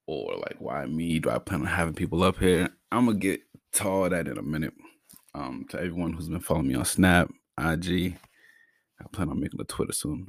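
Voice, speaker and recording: A young man from Massachusetts hosts his own podcast, talking in a loose, slangy, laid-back way and stuttering now and then. His voice sits very deep with a gravelly fry. The mic is close and dry. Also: no room sound. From Brockton, Massachusetts. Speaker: podcast host. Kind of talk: casual solo podcast talk.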